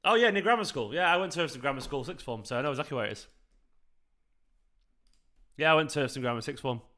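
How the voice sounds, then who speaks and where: A young man with a South Manchester accent talks loosely and at length to his viewers. His voice is bright and forward, and he wanders from thought to thought in casual chat. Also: mid-range voice, lively intonation, quick pace. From South Manchester, England. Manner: chatty, rambling, talks to viewers. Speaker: fan channel host. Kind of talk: live football watchalong chat.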